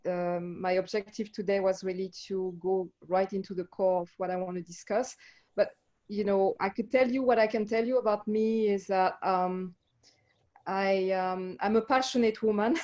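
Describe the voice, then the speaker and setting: A woman with a French accent speaks as an interview guest, her voice mellow and dark in tone. She talks with passion and reflection, pausing often on ums as she gathers her thoughts. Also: mid-range voice. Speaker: life coach and guest. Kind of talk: casual interview chat.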